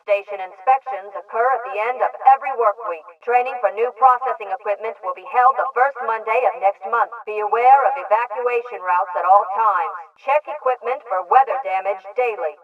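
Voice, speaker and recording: A distant, phone-thin loudspeaker carries a female government announcer from a sci-fi game. She is measured, formal and impersonal. Her delivery is orderly and authoritative, like scripted public notices. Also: mid-range voice. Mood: formal, official. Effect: loudspeaker radio filter. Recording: tinny, band-limited, distant loudspeaker sound.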